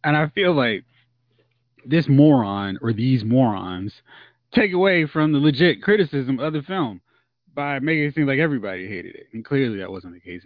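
A man hosting a casual podcast chat, loose and animated, steering the group conversation. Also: medium pitch, no echo. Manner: loose, animated, leading the talk. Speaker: podcast host. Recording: dull lo-fi sound.

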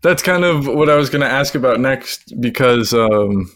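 A man's voice: medium pitch, animated, moderate pace, a bit bright, dry recording, studio quality. A man hosting a podcast, asking curious and slightly hesitant questions with fillers. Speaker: podcast host. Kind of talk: interviewing a guest.

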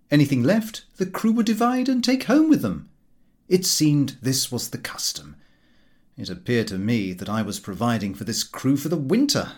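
Casting: male audiobook narrator, very animated intonation, dry comic storytelling, mid-range pitch. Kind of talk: scripted narration of a comic novel.

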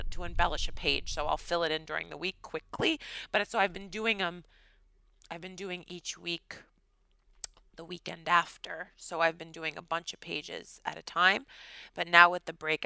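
Bright, gravelly-voiced woman host. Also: on the low side, no room sound, poor audio. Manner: chatty, animated, quick laughs. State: slightly scratchy, tired voice. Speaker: podcast co-host. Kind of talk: casual chat.

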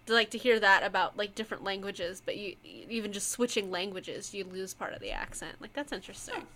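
A woman's voice: mid-range voice, brisk, bright, forceful tone, a little hiss or hum. A woman co-hosting a podcast, musing and teasing in a casual chat full of likes. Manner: teasing, reflective, many likes. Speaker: podcast co-host.

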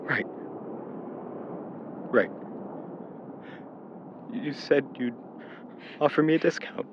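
An actor in an audio drama playing a devoted, protective young boyfriend, anxious and close to panic. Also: male voice, on the high side, very slow. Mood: tender, light. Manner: soft reassurance swinging to desperate outbursts. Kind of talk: naturalistic audio drama acting. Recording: muffled, loud vehicle noise. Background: vehicle driving noise.

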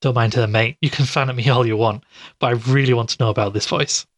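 A man's voice: mid-range voice, fairly even intonation, fast talker, lightly acted. A man acting as a sceptical, tired podcaster in an audio drama, speaking in a casual, understated way. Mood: curious. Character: sceptical, tired male podcaster investigating a mystery.

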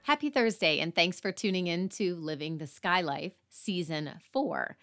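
A woman hosting a parenting podcast, slightly hoarse, talking earnestly with fillers. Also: on the low side, lively intonation, moderate pace, fairly bright tone, faint music behind. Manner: earnest, apologetic asides with fillers. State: slightly hoarse after illness. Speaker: podcast host. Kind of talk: podcast intro and interview.

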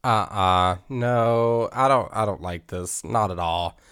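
A man's voice: fairly low pitch, takes it slowly, a bit bright, clear, resonant tone, dry recording, clean studio sound. A man chatting casually as a podcast co-host, playful and mock-indignant. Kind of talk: casual chat, reacting.

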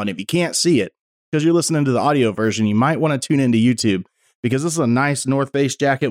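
A friendly man hosts a podcast interview with an easy, chatty flow. His voice sits at a middle pitch. The sound is dry and close, with no room echo. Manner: friendly, chatty, reads ad copy smoothly. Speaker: podcast host. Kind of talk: casual interview chat.

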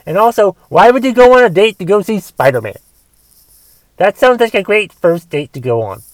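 A man with a raspy voice from a cough, rambling casually and with some swearing as he reviews a movie. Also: sits high, expressive, moderate pace, no echo. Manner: rambling, profane, wondering aloud.

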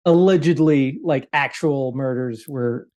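A man's voice: mid-range voice, a little slow, a little mellow. A man chatting casually as a quiz contestant, with a joking, wry manner. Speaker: podcast guest and quiz contestant. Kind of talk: casual chat.

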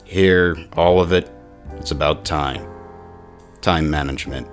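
A man playing a huge modified war veteran cop in a noir audio drama, gruff, weary and grumbling. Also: rumbling low, unhurried, soft background music. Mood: brooding, hard-boiled.

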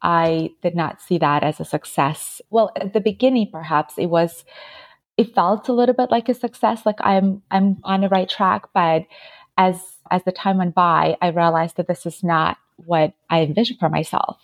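Ukrainian-born woman, lowish voice, dry close sound, thoughtful storytelling as a podcast guest. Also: moderate pace, no echo. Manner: reflective, telling stories with small laughs. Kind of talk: casual interview talk.

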